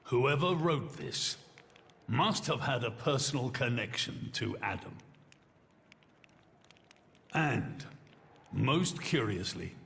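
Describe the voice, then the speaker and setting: An actor playing a solemn, regal monarch in a video game, speaking formally and gravely with weighty pauses. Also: male voice, mid-range voice, exaggerated voice. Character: solemn, regal male monarch burdened by his judgment. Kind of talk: dramatic video game cutscene acting. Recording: loud music behind the voice.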